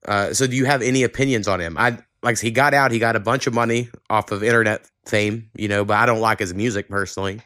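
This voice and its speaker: A man with a fairly deep, smooth voice talks casually as a podcast host. The sound is dry and close, with no room on it. He keeps it conversational and unscripted. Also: steady tone, fast talker, few pauses, bright, forceful tone, dry recording. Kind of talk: casual chat.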